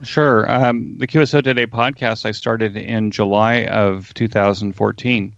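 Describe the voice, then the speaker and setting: A middle-aged or older man giving casual interview answers as a guest, halting and pausing mid-sentence. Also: fairly low pitch, fairly even intonation, creaky, fairly bright tone, no echo. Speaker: interview guest, ham radio podcaster. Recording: dull, lo-fi remote connection.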